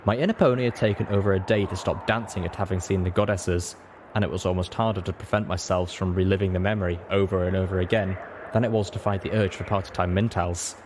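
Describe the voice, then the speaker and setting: A man's voice: low voice, fairly even intonation, fast talker. A man narrating a first-person story from a script as an audiobook reader. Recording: echoey room with clear background noise.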